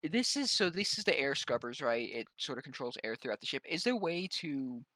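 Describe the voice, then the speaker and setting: A man's voice: mid-range voice, very animated, brisk, bright, forceful tone, no room sound. A man chatting casually as a player at a tabletop game, with a tentative, questioning way of talking.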